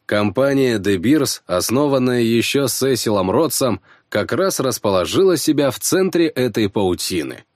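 A male audiobook narrator reads scripted fiction in a medium-pitched voice. His intonation moves widely and expressively through the story. The recording is dry, with no room around him. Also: lively intonation, moderate pace, hardly any pauses, a little mellow, no room sound.

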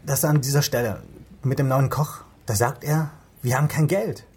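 An actor playing an earnest, brooding police investigator in a German radio crime drama, speaking thoughtfully and insistently. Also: male voice, medium pitch, moderate pace, darkish tone, slightly rough. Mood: brooding, intent.

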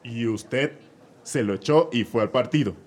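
Man doing a curt dim judge, mexico city accent, mid pitch. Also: a little slow, a little mellow, close to an everyday voice. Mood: stern. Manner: curt interrogating questions. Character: bumbling judge, stern but dim. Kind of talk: comedic radio play acting.

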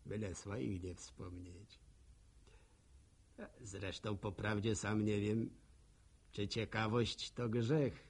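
Gravelly, husky village postman and gossip. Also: on the low side, little pitch movement, full character voice. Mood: wry, musing. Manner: folksy, rhetorical, unhurried storytelling. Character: nosy, talkative village postman, good-humoured gossip. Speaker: narrator of a radio drama. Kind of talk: folksy monologue in a rural radio drama.

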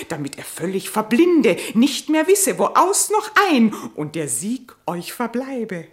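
A woman playing a scheming, solicitous animal aunt in a classic radio play, coaxing theatrically in verse. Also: mid-range voice.

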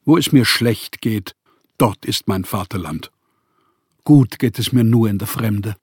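An actor playing a proud, melancholy, rootless writer who speaks deliberately and reflectively in a radio play. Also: male voice, fairly low pitch, slightly rough. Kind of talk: autobiographical radio play monologue.

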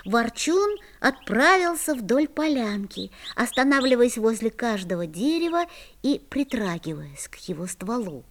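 A woman voicing a young boy who narrates a musical audio play with gentle storytelling. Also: a little high, big pitch swings, measured pace.

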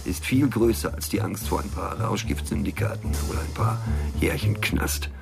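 An actor playing a cynical, restless small-time drug hustler in a radio crime drama, sardonic and brooding in wry asides. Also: male voice, on the low side, normal speed, gravelly, over music. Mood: agitated, defiant.

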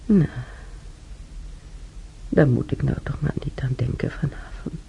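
An older woman acting an ailing, weary old woman reminiscing alone in a radio drama, halting and sighing, with a breathy voice. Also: very deep voice, dark tone, exaggerated voice. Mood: weary, regretful. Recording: dull radio recording, faint noise.

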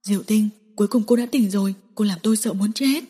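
A gentle young man murmurs soft, tender reassurance, as a woman narrator puts him on. The tone is mellow and a little husky, with a long reverb trailing behind. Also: mid-range voice, quick pace, close to an everyday voice. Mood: soothing. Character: gentle, worried young man comforting her.

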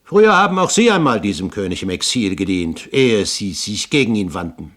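An actor playing a proud, cunning older pirate captain in a 1970s German radio drama, speaking eloquently and theatrically. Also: male voice, fairly low pitch, very animated, brisk, fairly bright tone. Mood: indignant. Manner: eloquent, pompous, theatrical phrasing.

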